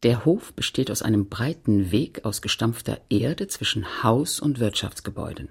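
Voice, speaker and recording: Husky, creaky low-voiced woman narrator. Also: lively intonation, heavily acted. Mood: sober, reflective. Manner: measured, factual. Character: woman narrator recalling her childhood home, sober. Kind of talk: literary radio play narration in German and French.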